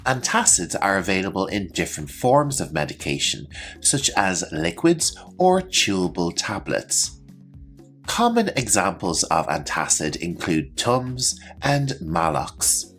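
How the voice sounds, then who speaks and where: A man presenting a scripted English vocabulary lesson, explaining terms in a clear, instructional manner. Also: low voice, big pitch swings, unhurried, a bit bright, soft background music. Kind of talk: scripted educational narration with example sentences.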